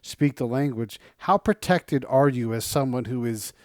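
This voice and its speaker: A man's voice: medium pitch, measured pace, dry recording. Man asking long questions, dry sound. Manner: asks long, rambling questions. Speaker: host. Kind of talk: podcast interview.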